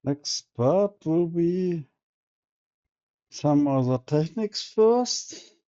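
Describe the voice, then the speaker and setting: Slow, mellow older man. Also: on the high side, animated, clean tone. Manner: slow, deliberate, mildly teasing. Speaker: participant. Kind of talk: casual chat on a video call. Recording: muffled video call audio.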